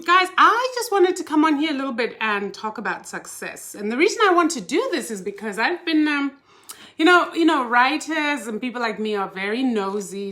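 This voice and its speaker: Chatty bright-voiced woman from Zimbabwe. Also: on the high side. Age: older. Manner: chatty, animated, laughs easily. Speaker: life coach and live-stream host. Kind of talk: casual live-stream talk to viewers.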